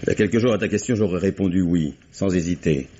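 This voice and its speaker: A deep-voiced, jaded stage actor. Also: male voice, quick pace, warm tone, slightly rough. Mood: dry. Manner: weary, curt, sardonic. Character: detached, disillusioned middle-aged stage actor. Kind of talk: 1950s French radio drama acting. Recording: muffled old radio broadcast with faint hiss.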